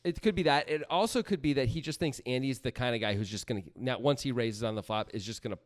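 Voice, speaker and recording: A man's voice: medium pitch, fast talker. A man co-hosting a discussion show, arguing his points in an informal, emphatic conversational way. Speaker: poker podcast co-host analysing hands. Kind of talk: conversational commentary and analysis.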